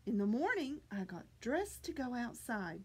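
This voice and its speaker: A middle-aged woman reading a picture book aloud to young children, with deliberate, animated delivery and exaggerated enunciation. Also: fairly high pitch, slightly rough. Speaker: children's librarian reading at story time. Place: small library children's room, indoors.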